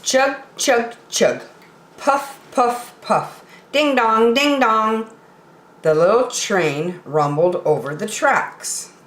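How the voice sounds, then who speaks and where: A woman's voice: on the high side, slight background noise. A woman reading aloud expressively as a storyteller for young children. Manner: expressive, deliberate enunciation. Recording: roomy echo.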